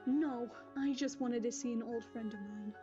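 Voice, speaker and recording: A woman playing a gentle, concerned pony princess and wise mentor, speaking in a measured, formal, kindly way for an animated audio drama. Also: a little high, natural voice. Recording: slightly dull audio, faint music.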